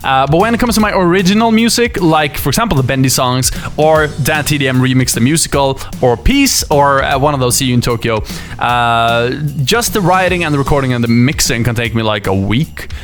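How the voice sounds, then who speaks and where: A man answering viewer questions in a casual chat, lively and often laughing, with many fillers. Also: medium pitch, fairly quick, faint music behind. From Sweden. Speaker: YouTuber and musician.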